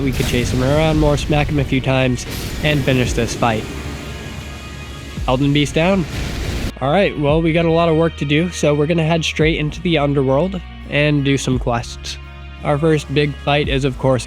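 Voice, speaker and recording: A man with a stuffy, nasal voice from a cold, chatting casually and self-deprecatingly as he narrates a video game run over his footage. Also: mid-range voice. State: getting sick, congested. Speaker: gaming YouTuber. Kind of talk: casual gameplay commentary voice-over.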